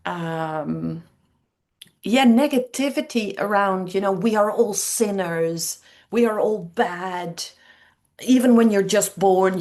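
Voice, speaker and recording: A woman in her fifties with a Dutch accent, a native Dutch speaker, giving reflective interview answers as a coach, often pausing between phrases. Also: lowish voice, darkish tone. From Netherlands. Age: 50s. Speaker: interview guest, training coach.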